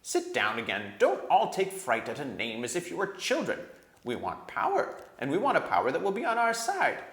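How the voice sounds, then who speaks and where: A man's voice: fairly high pitch, huge pitch swings, echoey room, pitched above the performer's own voice, full character voice. A man voicing a bitter, cunning male dwarf in a storybook reading, speaking with cold, distinct scorn that can flare into anger. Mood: cold insistence. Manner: slow, distinct, cold, rising to shouting.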